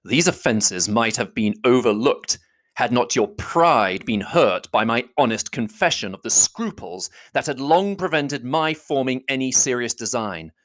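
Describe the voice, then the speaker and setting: A reader voicing a proud, stiff gentleman who speaks in a measured, contemptuous, slow way. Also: mid-range voice, lively intonation. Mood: cold. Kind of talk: audiobook reading.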